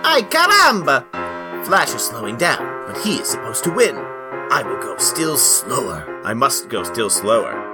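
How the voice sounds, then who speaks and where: A man's voice: medium pitch, big pitch swings, a little slow. A man playing an indignant, hammy superhero impostor in a comic book reading. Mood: alarmed, stubborn. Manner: hammy, exclamatory. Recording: music behind the voice.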